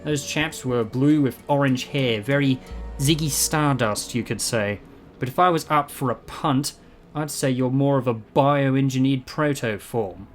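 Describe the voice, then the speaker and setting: A man's voice: mid-range voice, a little slow, soft background music, natural voice. An actor playing a quirky, self-assured male time traveller in an audio drama, wry and breezy in manner. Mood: wry, confident.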